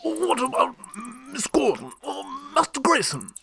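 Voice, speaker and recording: A man's voice: very high voice, wildly swooping pitch, unhurried, creaky, pushed and bright, full character voice. A man playing a fretful, dutiful older butler in a radio drama, speaking formally and pleadingly. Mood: worried.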